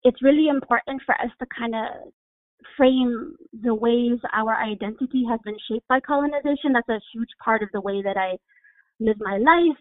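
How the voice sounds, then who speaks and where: A woman from Hawaii, a podcast guest, explaining her background and identity in a thoughtful, measured way. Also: a little high, no echo. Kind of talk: reflective interview answer. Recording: phone-like dry sound.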